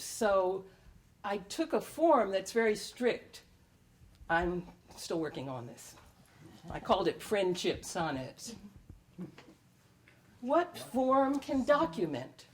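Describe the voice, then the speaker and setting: A woman reading her own poetry aloud at a live event, in measured and expressive phrases with deliberate pauses. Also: mid-range voice. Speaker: poet reading own work. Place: live public reading at a library.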